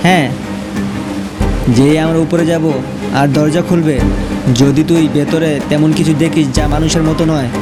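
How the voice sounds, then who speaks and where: A man playing a brave, protective older brother who gives firm instructions in an animated horror story. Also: a little high, normal speed, long pauses, over loud music, lightly acted. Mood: urgent. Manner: firm, commanding.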